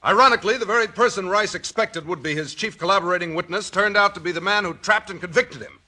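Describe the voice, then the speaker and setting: Declamatory prosecutor, 1940s radio courtroom, high-pitched and extremely bright. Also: male voice, quick pace, exaggerated voice. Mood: triumphant, emphatic. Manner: declamatory, emphatic. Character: forceful male prosecutor addressing a jury.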